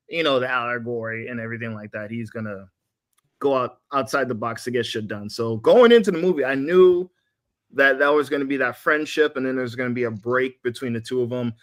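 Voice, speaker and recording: A man's voice: medium pitch, a bit bright. A man chatting casually on a podcast panel, giving opinions in an emphatic, colloquial way.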